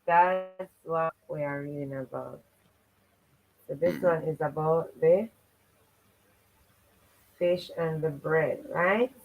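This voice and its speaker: A woman's voice: low voice, takes it slowly, pauses often, narrow, tinny audio, a little hiss or hum. A woman hosting a children's read-aloud livestream, encouraging and fond of repeating stock welcome phrases. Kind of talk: livestream hosting, greeting viewers and recapping stories.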